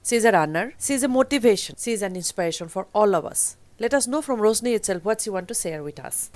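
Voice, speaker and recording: A woman's voice: mid-range voice, expressive, fast talker, darkish tone, no echo. A woman from India hosting a radio talk show from a studio, with an upbeat scripted intro. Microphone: studio mic on stand.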